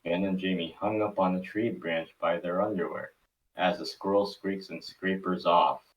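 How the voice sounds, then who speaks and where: Male table-read narrator, low pitch, scripted delivery, slightly distant mic. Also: fairly even intonation, normal speed.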